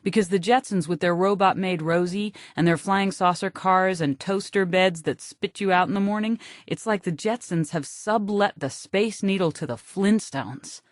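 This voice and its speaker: A woman narrator plays an opinionated male dreamer in an audiobook, earnest and given to lecturing. The sound is close and very dry, at a middling female pitch. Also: moderate pace, few pauses, dry recording, lightly acted. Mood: earnest, urging. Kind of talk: audiobook character reading.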